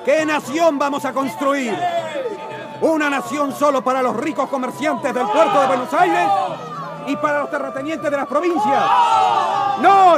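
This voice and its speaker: Shouting, high-pitched revolutionary general. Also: male voice. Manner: shouted, declamatory. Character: fiery revolutionary general rallying the landless crowd. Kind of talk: radio drama oratory. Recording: loud crowd and music behind. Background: loud music and crowd.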